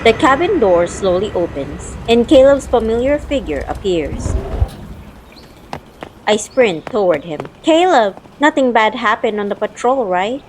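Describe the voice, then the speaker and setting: A woman's voice: on the high side, sing-song, a little slow, slight background noise, rough recording. A young woman playing a spirited, teasing childhood friend in an audio drama, telling the story in her own words and acting out playful banter. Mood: calm narrating. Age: young adult. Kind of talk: audio drama narration and dialogue. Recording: music playing behind the voice.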